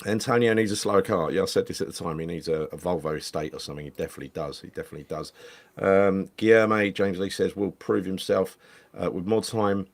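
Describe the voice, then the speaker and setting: A man's voice: sits low, fast. A man, hosting a casual football chat from home over a video call, rambling and repeating himself as he reads viewer comments. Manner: chatty, rambling, repeats phrases. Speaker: podcast host. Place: home room.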